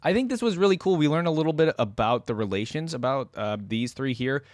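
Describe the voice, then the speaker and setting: A man's voice: mid-range voice, expressive, fast, no echo, studio quality. A man hosting a reaction video, chatting casually to his viewers as he comments. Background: faint music.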